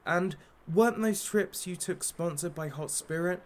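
An actor playing a menacing, sardonic talk show host in a satirical audio drama, with a theatrical, taunting manner. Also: male voice, sits high, measured pace. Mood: scornful, taunting.

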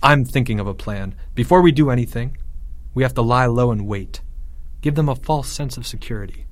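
A man's voice: medium pitch, brisk, dry recording, close to an everyday voice. Man playing a stern, determined boy commander. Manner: urgent, military-style.

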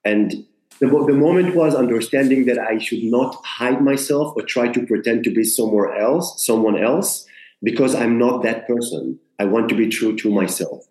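A man's voice: medium pitch, normal speed, darkish tone. A man from Israel, an actor, talking earnestly in an interview and often restarting as he searches for words. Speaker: actor, guest. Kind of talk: conversational interview. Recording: roomy echo.

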